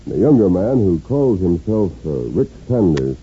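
An actor playing a steadfast masked western hero, with a deep husky American voice, in a 1950s radio drama. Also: male voice, sing-song, normal speed, exaggerated voice. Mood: earnest, purposeful. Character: steadfast masked western hero, grown man, earnest and commanding. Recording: dull 1950s radio recording.

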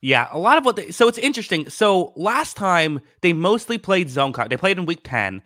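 A man with a bright, crisp-edged voice analyses football as a podcast co-host. His delivery is conversational and a little halting as he circles toward each point. The sound is dry, with no room echo. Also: sits high, very animated.

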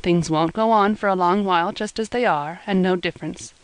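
Woman reader doing old weaver: kindly, careworn, measured rural speech; dry, close. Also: lowish voice, clean tone, no echo, close to an everyday voice. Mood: gentle. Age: older.

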